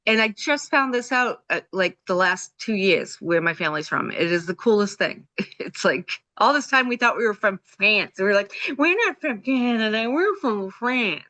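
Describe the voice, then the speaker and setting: A woman hosting a casual chat, eager and quick to laugh, with frequent hesitations. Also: mid-range voice, animated, bright, forceful tone. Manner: enthusiastic, laughing often, many fillers. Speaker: host.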